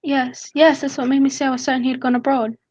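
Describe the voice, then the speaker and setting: A woman's voice: sits high, flat delivery, quick pace, a little mellow, husky, highs cut off. A woman playing an earnest, pleading young fiancée in a radio drama. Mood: anxious, devoted.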